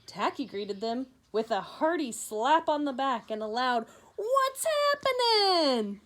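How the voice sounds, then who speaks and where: Slow-paced, high-voiced woman reading to children. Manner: animated narration with conversational asides to young listeners. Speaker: teacher reading aloud to children. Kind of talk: expressive children's picture book read-aloud.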